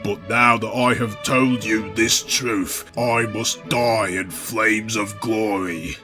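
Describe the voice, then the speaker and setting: A man's voice: on the low side, fairly even intonation, takes it slowly, music in the background. A man voicing a devoted, fatalistic woman lover in a story reading, with solemn, languid melodrama. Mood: resigned, devoted.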